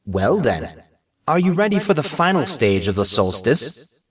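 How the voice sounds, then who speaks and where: A man's voice: mid-range voice, big pitch swings, measured pace, fairly bright tone, recorded from a distance. A man voicing a playful, formal master of ceremonies at a school in an audiobook. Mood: playful, grand.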